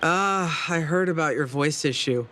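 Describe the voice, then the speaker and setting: An actor playing a suave, teasing and philosophical acquaintance in a video game, with a theatrical, familiar manner. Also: male voice, fairly high pitch, normal speed, pushed and bright. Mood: knowing, concerned. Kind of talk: scripted video game dialogue.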